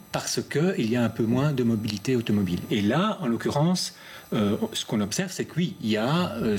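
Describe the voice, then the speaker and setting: A man's voice: medium pitch, darkish tone, slight background noise. A man, a university mobility expert, explaining figures in a radio interview with many hesitations. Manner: halting, many fillers, explanatory.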